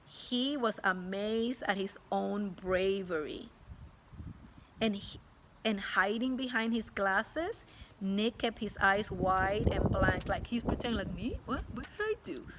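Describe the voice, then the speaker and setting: A woman teacher reading a story aloud to children expressively, pausing to explain it in simple words. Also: medium pitch, noisy background.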